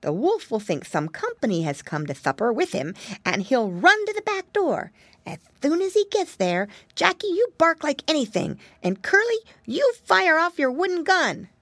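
A woman voicing an excitable little piggy boy who takes charge and lays out plans in a high, childlike voice. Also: moderate pace, no echo, full character voice. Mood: eager.

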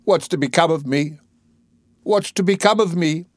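Man acting a wounded, defiant, high-pitched young woman. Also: normal speed, no room sound, clean studio sound, lightly acted. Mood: anguished. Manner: emotional, bitter, defiant. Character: wounded, furious young working-class woman, bitter and proud.

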